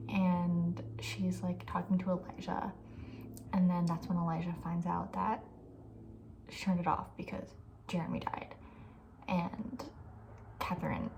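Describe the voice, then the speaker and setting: A young woman with a soft, breathy voice, often near a whisper, chatting casually and with playful opinions in an ASMR style. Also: on the low side, noisy background. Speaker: ASMR video creator.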